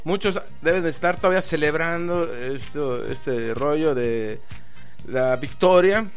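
A Mexican man hosting a live online radio show, rambling through shout-outs and jokes in a chatty, loose way. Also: a little high, a little slow. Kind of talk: casual live radio chat with greetings. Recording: faint music in the background.

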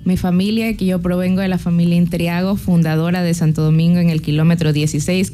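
A woman's voice: lowish voice, mellow, slight background noise. A woman in her thirties with an Ecuadorian accent, answering interview questions in a friendly, proud way. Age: 30s. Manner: friendly, proud and laughing easily. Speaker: business chamber president.

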